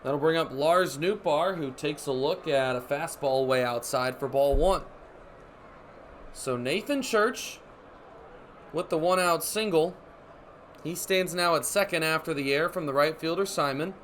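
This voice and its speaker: A man's voice: a little high, a bit bright. A man in his twenties doing radio baseball play-by-play as the announcer, with a descriptive delivery. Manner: descriptive, measured delivery that rises on action. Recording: clear background ambience noise.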